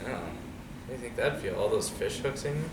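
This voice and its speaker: Animated man reading a storybook, roomy sound. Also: mid-range voice, fast talker. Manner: conversational, checks in with young listeners using questions. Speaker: storyteller reading a picture book aloud to children. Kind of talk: expressive storybook read-aloud with casual asides. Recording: roomy, distant mic with clear background noise.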